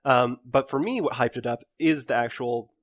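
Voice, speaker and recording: A man's voice: mid-range voice, moderate pace. A man co-hosting a podcast, chatting casually in a group discussion. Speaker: podcast co-host.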